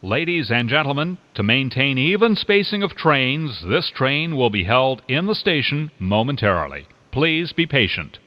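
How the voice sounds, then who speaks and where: A man's voice: medium pitch, big pitch swings, normal speed, hardly any pauses, lo-fi sound. A man reading scripted public announcements as a subway announcer, with train ambience behind him.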